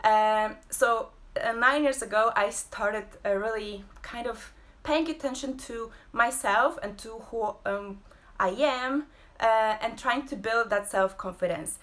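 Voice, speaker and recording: A woman talks casually from her home, with a slightly high voice. She speaks slowly, pausing often with fillers as she gathers her thoughts. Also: a bit bright. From Poland. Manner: hesitant, many fillers and pauses. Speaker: host and abstract painter. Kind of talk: casual live-stream talk.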